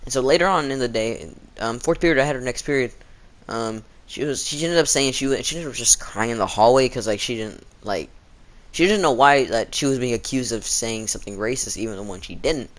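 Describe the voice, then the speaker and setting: A man's voice: mid-range voice, fairly quick. A teenage male vlogger chatting casually as he tells a rambling story, acting out the people he quotes. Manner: rambling, acts out quoted exchanges.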